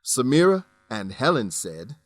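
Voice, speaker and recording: A man narrates a scripted English lesson in a deliberate, instructional way. His voice is mid-pitched, and the sound is very dry, with no room echo. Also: sing-song.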